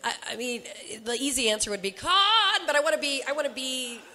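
Chatty young woman, bright voice. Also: high voice, expressive. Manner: chatty, laughing, fast asides. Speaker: voiceover actor and singer on a panel. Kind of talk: casual panel talk. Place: convention panel room, indoors. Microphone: handheld microphone.

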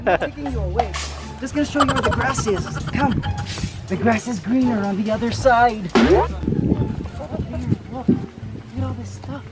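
Excitable man, high voice, outdoor farm vlog, handheld camera, music behind. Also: measured pace, pauses often. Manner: excitable, coos baby talk at a chicken. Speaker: vlogger. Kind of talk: casual vlog.

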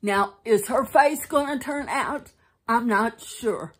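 A woman's voice: on the high side, slightly rough. A middle-aged woman chatting casually at home while showing a craft kit, rambling and correcting herself as she goes. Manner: slow, rambling, self-correcting. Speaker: crafting vlogger. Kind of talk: casual show-and-tell chat. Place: home, seated indoors. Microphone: phone camera pointed down at her lap.

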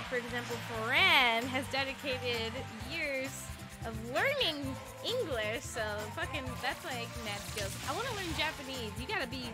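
A woman's voice: a little high, poor audio. A young woman whose first language is Spanish, chatting casually and giggling while streaming a game, swearing now and then. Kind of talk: casual live-stream chat while gaming. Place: gaming room at home, indoors. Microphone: headset. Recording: game music loud under voice. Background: loud game music.

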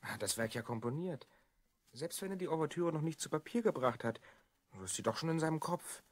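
A performer playing a cautious, concerned twelve-year-old boy in a German audio drama, husky and earnest. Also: medium pitch, lively intonation, fairly quick, no room sound, close to an everyday voice. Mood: cautious, approving.

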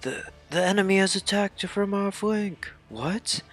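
A man's voice: sits high, measured pace, fairly bright tone, voice pushed up. A man voicing a fretful, panicked soldier in a video game read-along. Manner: anxious, alarmed. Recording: game music behind.

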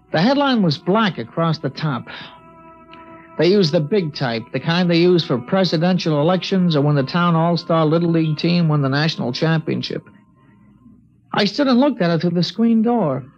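An actor playing a young man who tells his own story in a 1950s radio drama. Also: fairly high pitch. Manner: plain, first-person storytelling. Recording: dull lo-fi 1950s radio broadcast.

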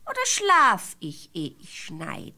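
A woman playing a naive, stubborn, whiny young peasant wife in a fairy-tale radio play, pleading in a drawn-out singsong. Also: fairly high pitch, wildly swooping pitch, sharp tone, full character voice. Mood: naive, dreamy.

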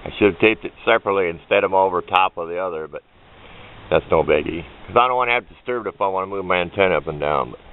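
A man's voice: sits low, very fast. A man giving a casual, plain-spoken, folksy homemade how-to narration as a hobbyist, picked up on a handheld camera microphone. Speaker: hobbyist demonstrator. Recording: muffled phone-like camera audio with background noise.

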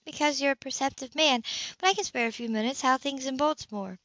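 A woman's voice: high voice, fast, fairly bright tone, no room sound, pitched above the performer's own voice. A woman reading the part of a guarded, wry professional woman in a novel, polite and lightly formal. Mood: wry, friendly. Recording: muffled lo-fi home recording.

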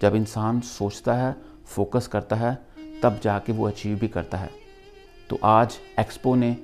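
Low-voiced Pakistani man, earnest motivational delivery. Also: darkish tone. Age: middle-aged. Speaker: vlogger, motivational speaker. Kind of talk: motivational talk to camera.

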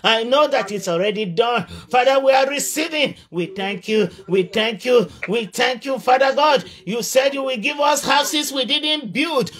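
A male preacher leading fervent prayer, shouting declarations and breaking into chanted tongues. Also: very high voice, measured pace, few pauses.

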